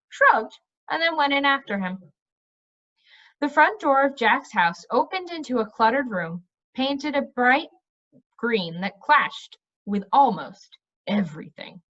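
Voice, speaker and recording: Animated, high-voiced woman reading aloud. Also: muffled recording. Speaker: read-aloud narrator. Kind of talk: storybook narration.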